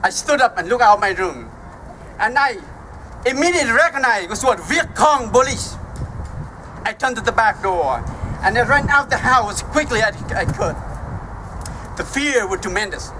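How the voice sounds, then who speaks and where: A man in his fifties from Vietnam with a Vietnamese accent, giving a formal speech as guest speaker at an outdoor ceremony, slow and halting with emphatic pauses. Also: very high voice, lively intonation, sharp tone, slight background noise, low-quality recording. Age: 50s.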